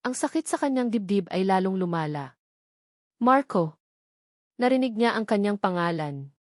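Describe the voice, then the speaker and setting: A woman's voice: medium pitch, darkish tone, dry recording, clean studio sound. A woman reading a romance story as dramatic scripted narration.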